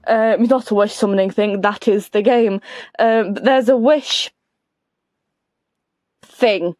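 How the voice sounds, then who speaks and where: A woman's voice: medium pitch, slow pace, a little mellow, no room sound, clean studio sound. A woman giving casual, rambling, self-deprecating commentary over a game playthrough. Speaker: gaming video creator.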